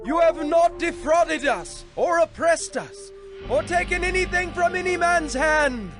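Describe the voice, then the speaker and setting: Humbled Israelite townsfolk in a scripture drama. The voice is extremely high for a man, earnest and pleading in declamatory delivery. Clear music runs underneath. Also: expressive, measured pace. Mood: earnest affirmation.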